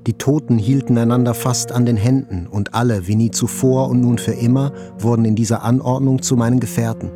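An actor playing a wounded survivor, narrating in a measured, literary way as in a radio play. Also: male voice, medium pitch, fairly even intonation, over music, lightly acted. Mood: grave, tense. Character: wounded male survivor narrating a terror attack, intense, inward.

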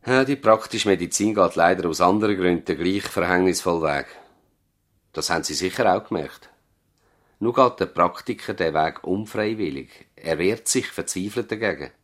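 An actor with a Swiss German accent playing a dignified, idealistic family doctor in a 1970s radio play, solemn and deliberate. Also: male voice, low-pitched, lightly acted. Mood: solemn, fervent.

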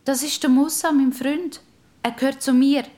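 A woman's voice: high-pitched, steady tone, moderate pace, a little mellow, no echo, pitched above the performer's own voice, lightly acted. A woman with a Swiss German accent voicing an anxious but loyal young girl in a children's audio story. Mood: firm.

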